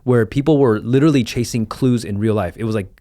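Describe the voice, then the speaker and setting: A man's voice: mid-range voice, fast, mellow, dry recording, studio quality. A man co-hosting a podcast, talking casually and explaining things in a rambling way through examples. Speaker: podcast co-host. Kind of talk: casual conversational discussion.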